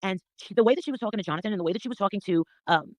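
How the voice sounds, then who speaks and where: A middle-aged woman in a casual, gossipy chat, telling her story in a blunt, confrontational, animated way and swearing freely. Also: mid-range voice, racing, dry recording.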